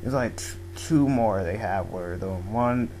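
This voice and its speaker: Rambling young man, low slow voice. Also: a little mellow, soft background music. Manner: rambling, filler-heavy, restarts often. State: losing his voice. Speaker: manga video blogger. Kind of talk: casual spoken manga review. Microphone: home microphone.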